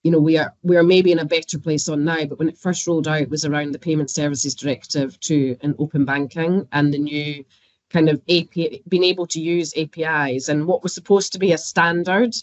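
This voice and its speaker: A woman's voice: deep voice, steady tone, brisk, no room sound, dull audio. A woman with a Scottish accent, a banking expert guest talking casually in a podcast interview and laughing often. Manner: chatty, laughs often, uses fillers.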